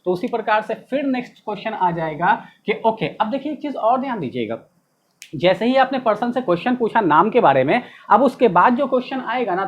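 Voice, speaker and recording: A man's voice: sits high, highs cut off. A man teaching spoken English in Hindi, playfully acting out sample dialogues.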